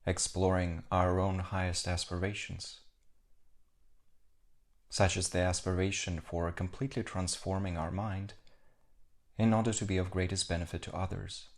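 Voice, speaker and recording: A man leading a guided meditation as a teacher, speaking calmly in short, measured phrases with pauses. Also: deep voice.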